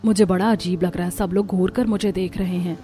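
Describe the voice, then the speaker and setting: A woman's voice: fairly low pitch, steady tone, brisk, mellow, slight background noise, close to an everyday voice. A woman voicing a caring young wife who sells home food in a cartoon story. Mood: earnest.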